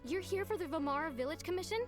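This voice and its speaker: A woman's voice: extremely high pitch, racing. An actress playing a worried, pleading young village woman in a video game, anxious and imploring, with game music underneath.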